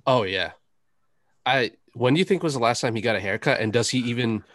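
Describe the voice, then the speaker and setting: A man co-hosting a sports podcast, chatting casually in a deadpan, laconic way. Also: medium pitch, a little fast, dry recording, studio quality. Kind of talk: casual chat, sports banter.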